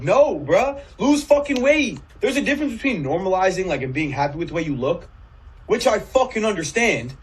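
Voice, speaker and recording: A man's voice: high-pitched, slightly rough. A man in a casual clip, ranting in a mocking, dismissive and profane way.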